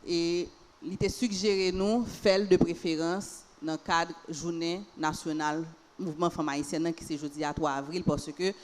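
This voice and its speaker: A woman's voice: fairly low pitch, fairly even intonation, normal speed, darkish tone, a little hiss or hum. A Haitian woman hosting a panel discussion, speaking unhurriedly and repeating phrases for emphasis. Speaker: panel host.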